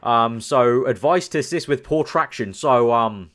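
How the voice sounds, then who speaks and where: A man's voice: mid-range voice, measured pace, fairly bright tone. A young man from the UK, chatting casually as a gaming channel host, rambling and thinking aloud with lots of fillers. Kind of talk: casual commentary over screen.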